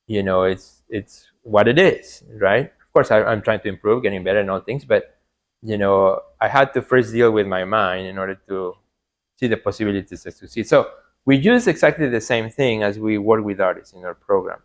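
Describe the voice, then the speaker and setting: Earnest Mexican-accented man, fairly low voice. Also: normal speed. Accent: Mexican Spanish. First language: Spanish. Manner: earnest, repeats words and adds "you know" often. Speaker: podcast guest, artist coach. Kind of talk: casual interview talk.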